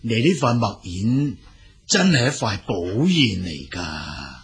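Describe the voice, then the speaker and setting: A man performs an elderly scholar-gentleman for a radio story. His voice is low and heavily rasping, moving slowly with expressive swoops. He sounds benevolent, curious and easily amazed. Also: exaggerated voice. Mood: delighted, amazed. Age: older. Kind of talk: Cantonese storytelling radio drama acting.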